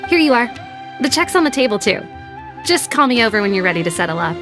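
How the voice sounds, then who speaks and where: A polite young game waitress, high and bright with a lively, bouncing lilt, over clear game music. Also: female voice, fast. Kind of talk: video game cutscene dialogue.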